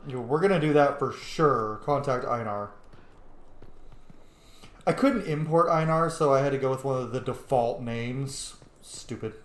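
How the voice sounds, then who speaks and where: A man's voice: mid-range voice, unhurried. A man doing casual, slangy, sweary playthrough commentary, reacting to game dialogue. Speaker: gaming host, player. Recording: echoey room sound.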